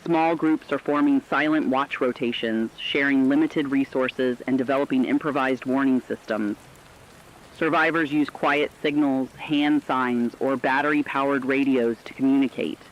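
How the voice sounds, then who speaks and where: A man playing an earnest radio announcer, reading a scripted emergency broadcast in a measured manner. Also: mid-range voice, steady tone, lightly acted. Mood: earnest, urgent. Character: earnest male radio announcer warning survivors of catastrophe. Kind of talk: scripted fictional radio news narration. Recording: dull lo-fi sound, rain-like noise.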